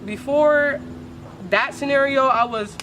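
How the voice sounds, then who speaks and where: A young woman from Oakland with a deep voice, speaking candidly as a poet guest in a casual interview, full of slang and swearing. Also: takes it slowly, a bit bright, slight background noise, poor audio. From Oakland, California.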